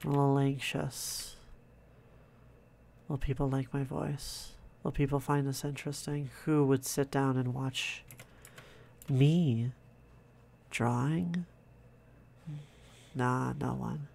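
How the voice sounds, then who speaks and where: A man quietly narrating his own drawing process, in a soft-spoken, calm way, thinking out loud. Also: medium pitch, takes it slowly, gravelly, pauses often. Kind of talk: casual relaxed commentary over drawing.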